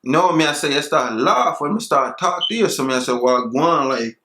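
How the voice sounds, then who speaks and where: A man's voice: fairly high pitch, lively intonation, normal speed, bright, forceful tone, slight background noise. Man, fake Jamaican patois, teasing, flirty, roomy echo. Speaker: prank video host. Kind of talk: putting on a Jamaican accent on a chat app.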